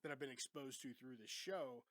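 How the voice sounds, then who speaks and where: A man's voice: medium pitch, normal speed, a bit bright, dry recording. A man hosting a casual movie podcast in a home studio, congested and sick with a cough, chatty and laid-back with casual swearing. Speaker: podcast host. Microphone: studio microphone.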